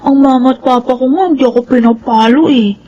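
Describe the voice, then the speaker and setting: A woman playing a kind, curious young schoolgirl in a Filipino radio drama, expressive and questioning, with a husky voice. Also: sits high, brisk, highs cut off. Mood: sympathetic, puzzled.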